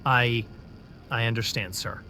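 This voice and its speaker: A man's voice: medium pitch, steady tone, unhurried, sharp tone, slight background noise. A man voicing a doubtful young captain who raises anxious, hesitant objections.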